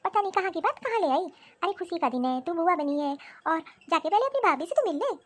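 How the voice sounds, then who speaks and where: A doting grandmother from a cartoon family story, played by a woman. She speaks in a high voice, fussing fondly over her household and gently scolding like a caring mother-in-law. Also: lively intonation, fast. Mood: chiding. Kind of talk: animated Hindi family drama dialogue.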